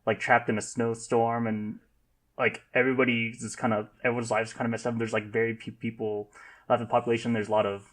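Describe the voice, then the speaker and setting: Rambling, halting man of medium pitch. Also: flat delivery, a bit bright. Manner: rambling, halting, heavy use of "like". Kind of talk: casual group discussion.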